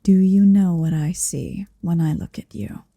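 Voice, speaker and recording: A woman voicing a possessive, seductive motherly dragon in an intimate ASMR roleplay, breathy and whispery, speaking slowly and deliberately close to the microphone. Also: lowish voice, warm tone, no room sound. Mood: tender, teasing. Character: possessive, seductive motherly female dragon hoarding her captive. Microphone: close microphone, dry.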